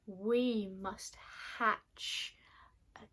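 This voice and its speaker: A woman's voice: mid-range voice, fairly even intonation, very slow, pushed and bright, full character voice. A woman voicing alarmed little garden creatures in a storybook, urgent and emphatic as they rally a rescue. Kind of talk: storybook character voice.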